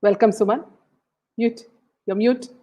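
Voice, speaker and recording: A woman's voice: on the high side, steady tone, measured pace, dark tone. A woman hosting a live show, welcoming callers with brief prompts. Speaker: host. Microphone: video call. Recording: dull stream audio.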